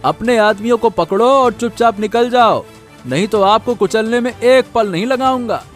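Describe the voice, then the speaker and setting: A man's voice: high voice, moderate pace, faint music behind, natural voice. A man playing a middle-aged village farmer father in a cartoon, protective and emphatic in a rustic way. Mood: threatening.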